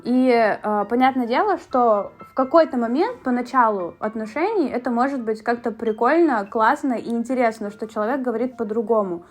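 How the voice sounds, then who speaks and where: A woman's voice: a little high, normal speed, hardly any pauses, soft background music. A young woman from Russia talking in a chatty, casual vlog style, with fillers and asides. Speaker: beauty vlogger.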